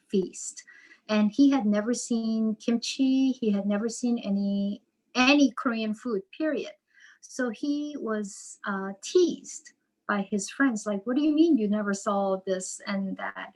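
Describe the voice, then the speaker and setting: Slow, measured woman author. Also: mid-range voice, darkish tone, rough recording. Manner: slow, measured, thoughtful with repetitions. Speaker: psychotherapist and author, guest. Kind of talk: reflective interview answers.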